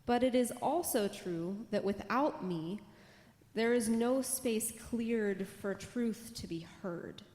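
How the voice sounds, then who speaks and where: A woman acts out a self-assured, nurturing embodiment of peace in a church drama reading. She speaks slowly in a rather high voice, with the long echo of a big hall around her. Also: a little mellow. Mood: assured, earnest. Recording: echoey hall, long reverb.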